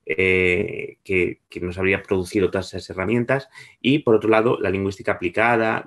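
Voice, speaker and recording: A man in his thirties giving an explanatory academic lecture over a video call, often rephrasing and correcting himself as he goes. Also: deep voice. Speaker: presenter in an academic seminar. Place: indoor room on a video call. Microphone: video call microphone.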